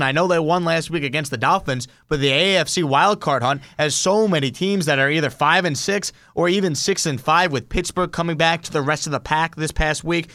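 A man's voice: on the high side, a bit bright, no echo, clean studio sound. An American man hosting a sports talk show, giving fluent, emphatic football analysis. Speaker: sports podcast host.

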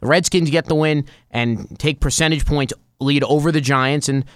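A man's voice: on the high side, normal speed, smooth, no room sound, studio quality. An American man co-hosting a sports talk show, discussing football. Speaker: sports podcast co-host.

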